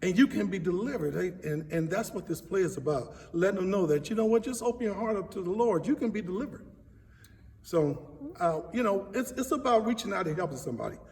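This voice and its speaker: A man who directs stage plays, telling personal stories in an interview in an earnest, animated way. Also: sits high, brisk. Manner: earnest, animated storytelling with acted-out quotes. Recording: echoey hall, distant microphone.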